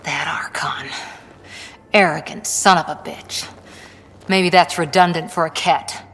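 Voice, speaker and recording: A woman's voice: deep voice, a little slow, a bit bright. A woman playing a tough, disciplined soldier in a video game, speaking in a clipped, matter-of-fact way. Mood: dry, composed. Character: tough, disciplined female human soldier, dryly wry. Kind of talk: video game dialogue acting. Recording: long reverb.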